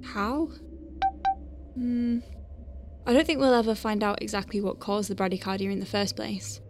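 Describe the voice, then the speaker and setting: A woman's voice: mid-range voice, steady tone, normal speed, heavy background noise, natural voice. Woman acting an anxious, wry space medic. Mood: focused, thoughtful. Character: female space-whale medic piloting a craft, anxious but wry. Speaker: ship's medic and pilot. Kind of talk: science fiction audio drama acting, conversational.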